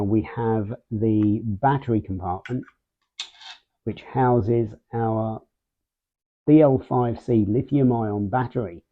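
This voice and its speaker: A man hosting a casual product unboxing and walkthrough, speaking in short, measured phrases with pauses mid-sentence. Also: fairly low pitch. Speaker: video host, product reviewer. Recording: muffled, phone-like narrow band sound.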